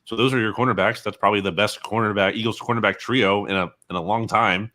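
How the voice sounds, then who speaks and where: A man with a very low, bright and punchy voice gives football analysis as an interview guest. He reasons through his points in a measured, analytical manner. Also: steady tone.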